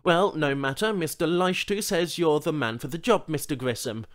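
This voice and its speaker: A man's voice: on the high side, moderate pace, a bit bright, voice pushed up, natural voice. Man acting a brusque, impatient corporate boss. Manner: brusque, absent, imperious.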